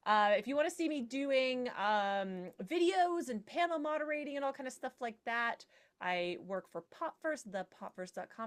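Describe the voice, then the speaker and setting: A woman speaking as a podcast panel guest in a casual, joking way, chatty and wry. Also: on the high side.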